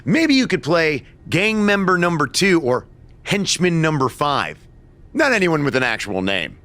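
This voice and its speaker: Pompous, high-voiced movie star. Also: male voice, sing-song, a little slow, pauses often, a bit bright. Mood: mocking. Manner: pompous, condescending, theatrical. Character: vain, smug, pompous wrestler turned movie star. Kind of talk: video game cutscene acting.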